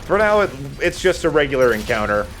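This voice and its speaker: A man's voice: on the high side, very animated, fast talker, fairly bright tone, a little hiss or hum, poor audio. A man giving casual let's play commentary in a playful, self-deprecating way, swearing casually. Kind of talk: casual gameplay commentary with banter.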